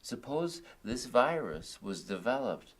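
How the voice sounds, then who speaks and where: An actor playing a drunk, grieving widower who rambles and stammers bitterly in a film drama. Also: male voice, mid-range voice, big pitch swings, slow pace. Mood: ranting, conspiratorial. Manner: rambling, combative, stammering venting. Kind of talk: naturalistic film drama dialogue.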